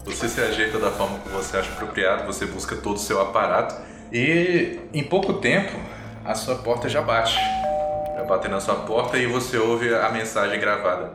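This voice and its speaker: A man improvising tabletop game narration as game master, haltingly searching for his words. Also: on the low side, reverberant. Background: music.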